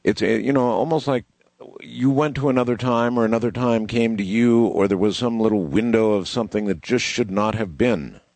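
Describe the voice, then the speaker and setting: A middle-aged man with a fairly low voice hosts a late-night radio call-in show. He muses slowly and thoughtfully, often restarting his sentences. The sound is close and dry. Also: a little mellow, no echo. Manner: thoughtful, musing, halting with restarts. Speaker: radio talk show host taking calls.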